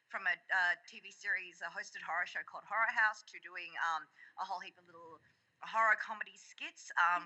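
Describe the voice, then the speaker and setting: A woman's voice: on the low side, pushed and bright, lo-fi sound. An Australian woman from Melbourne, a podcast guest and actress, talking casually with lots of ums. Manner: rambling, many ums. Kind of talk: casual interview chat.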